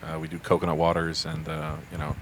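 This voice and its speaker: A man's voice: very deep voice, monotone, fast talker, fairly bright tone, slight background noise. A man, a joking band member who hesitates with fillers. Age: 20s.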